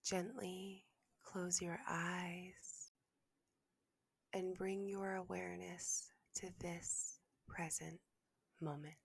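A woman leading a guided meditation as host, speaking softly and gently in a breathy half-whisper with long, soothing pauses. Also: on the low side, little pitch movement, dry recording.

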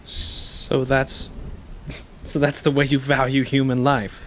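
An actor playing a bitter, wounded ex-convict in an audio stage play, intense and cunning, with dramatic, halting, emphatic delivery. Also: male voice, medium pitch, completely flat delivery, close to an everyday voice. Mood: troubled. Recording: rainy ambience and faint noise.

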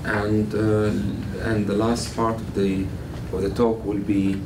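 A man's voice: lowish voice, level tone. Man, guest lecturer, many fillers, distant mic, background noise. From Palestine. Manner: measured, many fillers, gestures with both hands. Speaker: guest speaker. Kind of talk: lecture to a college class. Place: classroom with a blackboard. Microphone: far camera. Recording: echoey classroom from far off.

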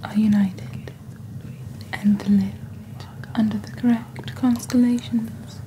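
A mysterious witch, acted by a woman, presents magical wares in calm ASMR narration. The voice is soft, breathy and dark-toned, close to a whisper. Each phrase comes slowly and deliberately, close to the mic, over faint background ambience. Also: medium pitch, slight background noise, lightly acted, poor audio. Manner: slow, deliberate, hushed, theatrical presenting. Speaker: host of a fantasy shopping channel. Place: small dim indoor set. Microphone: close fluffy-covered studio microphone.

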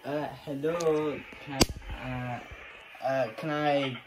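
A man's voice: medium pitch, steady tone, takes it slowly. A young man, talking casually and hesitantly on a phone in a bedroom. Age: teenager to young adult. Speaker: prank caller. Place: small bedroom. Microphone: camera a short distance away. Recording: clearly noisy room recording with clicks.

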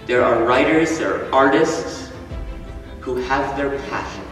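A man reciting a spoken word poem on a school stage in a slow, measured, declamatory way. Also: medium pitch, a bit bright. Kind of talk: spoken word poetry performance. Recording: echoey hall from far off, music behind.

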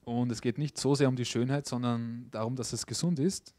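Male interpreter, steady delivery, dry close sound, mid pitch. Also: a little fast, no echo. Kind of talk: consecutive interpreting into German.

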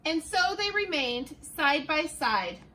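A middle-aged woman teacher reading a picture book aloud in a classroom, slow and expressive, with the camera a few feet away. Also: high voice, a little hiss or hum. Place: classroom, indoors.

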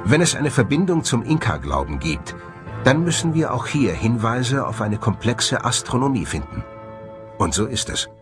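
A man's voice: medium pitch, sing-song, normal speed, over music. A man presenting a documentary in scripted narration.

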